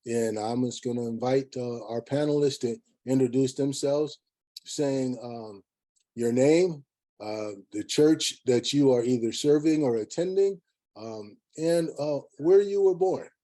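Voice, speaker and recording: Halting male webinar moderator, mellow voice. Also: medium pitch. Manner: halting, measured. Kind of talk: unscripted hosting.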